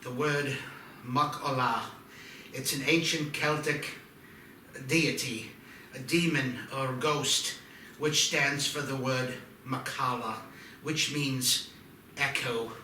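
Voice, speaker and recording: An alarmed, earnest male doctor in a horror drama, acted by a man at a table read. His voice is sharp and bright, the delivery halting and grave, heard far off with echo. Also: medium pitch, steady tone, slight background noise. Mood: grave, insistent. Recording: echoey room, distant mic.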